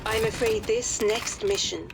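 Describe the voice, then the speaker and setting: An actress voicing a composed, authoritative aristocratic lady who gives formal, measured mission briefings in a game. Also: a little high, vocal fry, background noise. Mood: grave briefing. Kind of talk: dramatic game cutscene briefing.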